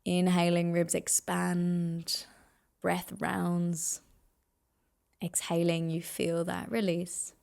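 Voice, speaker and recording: Female meditation guide: very slow, soothing delivery with a gravelly fry at the edges. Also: lowish voice, monotone, darkish tone. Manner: soothing, unhurried instruction with pauses.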